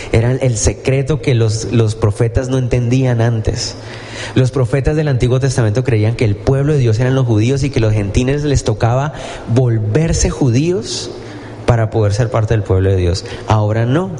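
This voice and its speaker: Pastor, relaxed dark voice, chatty preaching with lots of questions. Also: male voice, mid-range voice, normal speed, a little hiss or hum. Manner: conversational preaching with rhetorical questions. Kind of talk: sermon. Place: church.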